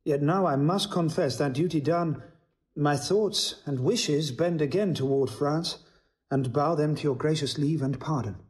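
Fairly high courteous young nobleman male actor. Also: unhurried, a little mellow, close to an everyday voice. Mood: deferential. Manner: formal, deferential. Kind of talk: classical stage drama acting.

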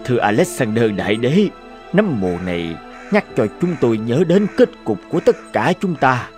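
A man voices a humble old townsman from an animated folk tale. He is serene and unafraid, speaking with measured respect and wisdom over soft music. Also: mid-range voice, lightly acted. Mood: reflective. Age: older.

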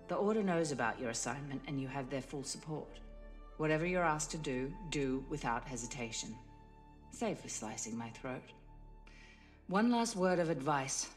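A man's voice: medium pitch, natural voice. A man plays a hard, cold leader of a rebel order in a fantasy video game. The delivery is terse and commanding with an edge of threat, over light music. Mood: stern, commanding. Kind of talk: scripted video game dialogue.

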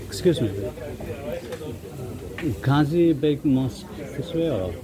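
A man's voice: on the high side, animated, slow, a crowd in the background. A man narrating a casual walking travel vlog outdoors and asking passersby for directions. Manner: asks strangers for directions, short offhand remarks. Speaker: travel vlogger. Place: outdoors on a pedestrian street in an old town. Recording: outdoor street noise behind.

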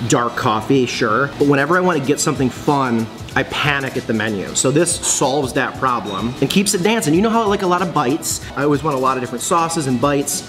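A man in his forties vlogging in a bright coffee shop, excitable and gushing, laughing often as he tastes dishes. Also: mid-range voice. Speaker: food vlogger. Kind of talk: casual food review chat. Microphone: phone camera at arm's length.

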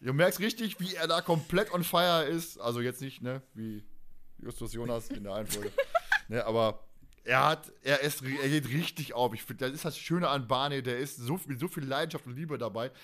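A man's voice: mid-range voice, normal speed, fairly bright tone. A man hosts a fan podcast in a casual, chatty way. His speech is colloquial and emphatic, and he tends to ramble as he goes. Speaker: podcast host.

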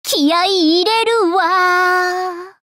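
Bratty bubbly anime game idol dragon girl, super high, slow showy singsong, full of herself. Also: fairly bright tone, dry recording, soft background music, full character voice. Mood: smug, playful. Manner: theatrical, singsong, boastful. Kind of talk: anime game character voice lines.

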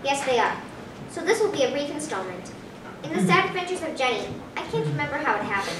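A woman's voice: sits high, very animated, fast talker. A young female performer playing an imaginative little girl telling grisly tales in a staged reading, theatrically. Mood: grisly storytelling. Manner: theatrical, declaiming. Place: small hall, staged reading before an audience. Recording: roomy distant camera recording, noisy background.

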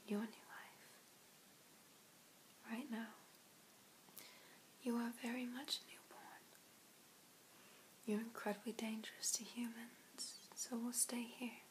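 A young woman in her twenties playing an ancient, protective vampire mentor in a whispered, soothing ASMR roleplay, with breathy, hushed delivery and long pauses. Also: a little high, flat delivery, poor audio. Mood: reassuring, protective. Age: 20s. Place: small indoor room.

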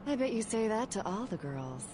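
A woman's voice: medium pitch, sing-song, normal speed, noisy background, close to an everyday voice. A woman voicing a wry, flirty late-night radio hostess, sardonic and teasing.

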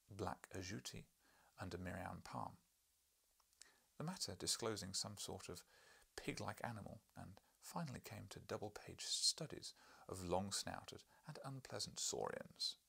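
A man's voice: fairly low pitch, creaky, pushed and bright, slightly rough. A man, reading literary narration aloud as an audiobook reader. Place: small book-lined living room, indoors.

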